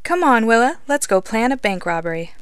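A woman's voice: on the high side, sing-song, brisk, smooth. An actress playing a friendly, composed woman in a crew of monster crooks in an audio drama.

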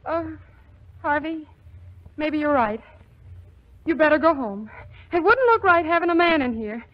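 A woman's voice: high voice, expressive, measured pace. A woman playing a flustered, fibbing young fiancée in 1940s radio comedy. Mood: flustered, nervous. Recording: dull 1940s radio recording.

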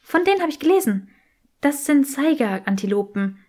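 Casting: curious, precise young witch for a fantasy audiobook, eager and easily exasperated, high voice performed by a woman narrator. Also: very animated, moderate pace, darkish tone. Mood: excited. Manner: precise, enthusiastic explaining.

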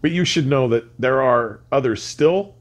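An older man presenting a scripted technical explanation in measured, deliberate, emphatic phrases with precise wording. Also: medium pitch. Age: older, around 60. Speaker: host of an audio explainer series. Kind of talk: scripted educational explanation to camera. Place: furnished home listening room, indoors.

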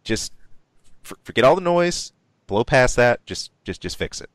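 A man's voice: mid-range voice, big pitch swings, normal speed, no echo. A man co-hosting a podcast, chatting casually about movie news with lots of ums, restarts and laughs. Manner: rambling, many ums and restarts, laughs often. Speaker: podcast co-host.

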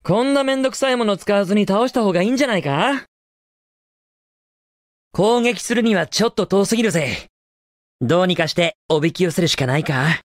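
A man's voice: high-pitched, fairly bright tone, no room sound, studio quality. An actor playing a cocky, confident young male hedgehog hero in a Japanese video game, with a cool, casual delivery. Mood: focused, determined.

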